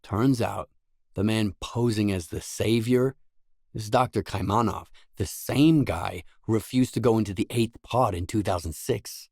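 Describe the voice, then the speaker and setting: A man playing a grim, world-weary lone stalker in a video game, terse and brooding, with a husky voice. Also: on the low side, measured pace, a little mellow, no room sound, studio quality. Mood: grave. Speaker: game character.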